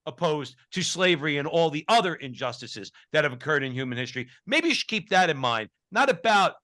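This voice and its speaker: A man's voice: a little high, very animated, brisk, sharp tone, no room sound, studio quality. A male co-host of a talk show, speaking emphatically and often repeating words as he starts a point. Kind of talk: casual talk show discussion.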